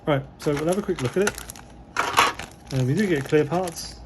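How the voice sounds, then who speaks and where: A slightly hoarse man, losing his voice, giving relaxed, rambling commentary as he unboxes a model kit, with many fillers. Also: fairly high pitch, normal speed, heavy background noise.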